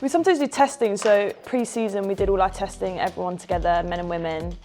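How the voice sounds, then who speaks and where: A woman's voice: medium pitch, fast talker, a little mellow, faint music behind. A woman, a guest athlete chatting in a hair salon, upbeat and laughing easily. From London. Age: 20s. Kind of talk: casual chat.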